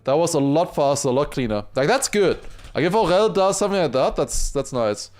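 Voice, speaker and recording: A man livestreaming excited esports commentary mixed with casual chat, raising his voice to a shout at big moments. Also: fairly high pitch, a little fast. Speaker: esports watchparty streamer and commentator.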